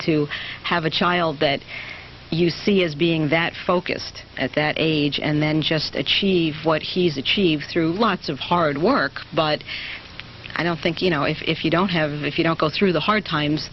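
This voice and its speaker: A middle-aged woman, a singer's mother, being interviewed in easy chat, earnest and halting, laughing easily. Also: low voice, dull audio, slight background noise.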